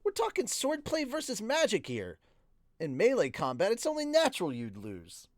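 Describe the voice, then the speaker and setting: A man's voice: high voice, huge pitch swings, moderate pace, dry recording, studio quality, voice pushed up, exaggerated voice. A man reading a novel, voicing a blunt, earnest and proud young swordswoman. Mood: blunt correcting. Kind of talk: audiobook-like novel character reading.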